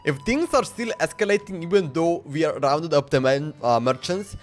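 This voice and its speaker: Higher-voiced man casually streaming a game. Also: lively intonation, normal speed. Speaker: live streamer. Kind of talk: casual gameplay commentary. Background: faint game music.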